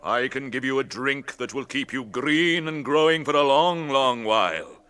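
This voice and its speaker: An actor playing an ancient, kindly tree-giant in a British radio drama, ponderous and musing, with old-fashioned phrasing and a temper that can be stirred. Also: male voice, medium pitch, animated, normal speed, pushed and bright, smooth. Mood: kindly, unhurried.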